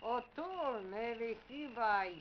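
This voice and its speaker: A cagey dealer, speaks slowly and high in terse broken English. The old adventure-drama recording is muffled and slightly noisy. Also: male voice, animated, heavily acted. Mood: wary, stubborn. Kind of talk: 1950s radio drama acting. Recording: dull, noisy vintage radio recording.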